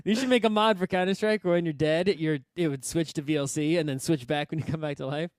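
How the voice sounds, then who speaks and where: Male co-host, high smooth voice, blunt casual banter, dry recording. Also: quick pace, no echo. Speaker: podcast co-host.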